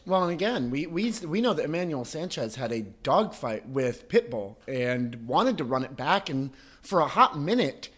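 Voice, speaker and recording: A man with a somewhat high voice hosts a casual podcast. He laughs easily and talks through long, winding questions to his guest. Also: big pitch swings, normal speed, few pauses, a bit bright. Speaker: podcast host.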